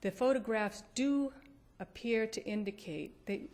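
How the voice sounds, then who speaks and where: A woman's voice: a little high, a little slow, darkish tone. A woman defense attorney giving an appellate oral argument in a courtroom. She is careful and hesitant and often restarts her phrases.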